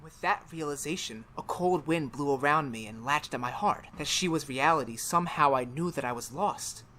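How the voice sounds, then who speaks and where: A woman acts out a haunted killer coming apart in an old-style radio horror play. The voice is lowish and melodramatic, feverishly insisting on sanity in broken phrases. The recording is clearly noisy. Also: normal speed, a bit bright. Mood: brooding. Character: tormented murderer Daryl, haunted, unravelling, insisting on sanity. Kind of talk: retro radio horror drama acting.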